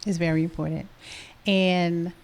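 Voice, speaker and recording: A woman's voice: lowish voice, fairly even intonation, measured pace, darkish tone. A woman co-hosting a podcast in a casual, easygoing way, answering her partner with frequent affirming murmurs. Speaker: podcast co-host. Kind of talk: casual chat.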